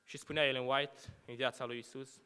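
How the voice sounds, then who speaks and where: A young man preaching a sermon from a lectern, asking rhetorical questions and letting his phrases fall to hushed endings. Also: mid-range voice, level tone, smooth. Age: young adult. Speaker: student preacher. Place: church hall at a lectern. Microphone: lectern microphone. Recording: long hall reverb.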